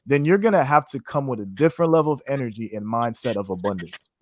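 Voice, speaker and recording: A man's voice: medium pitch, lively intonation, fast, slight background noise. A man from Haiti, a trading educator, giving a passionate, preacher-like interview answer in a casual way. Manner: passionate, preacher-like, faith-filled phrasing; casual, with "man" and "you know what I'm saying".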